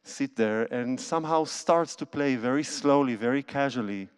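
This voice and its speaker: A man's voice: medium pitch, moderate pace, smooth. Man chatting to a concert crowd. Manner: chatty storytelling with non-native phrasing and restarts. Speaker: conductor and cellist. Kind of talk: speaking to a concert audience from the stage. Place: church concert stage. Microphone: handheld microphone.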